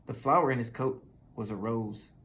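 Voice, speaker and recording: A person reading a children's story aloud in an expressive, dramatic manner. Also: mid-range voice, moderate pace, slight background noise. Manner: expressive, with dramatic sound words. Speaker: storybook reader.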